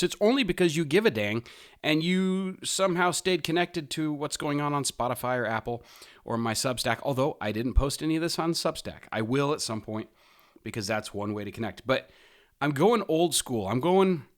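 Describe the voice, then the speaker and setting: Man with very animated, swooping delivery. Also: mid-range voice. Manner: emphatic, drawn-out stresses, mild swearing; rambling with wry self-deprecating asides. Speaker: podcast host. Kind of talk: casual opinionated monologue.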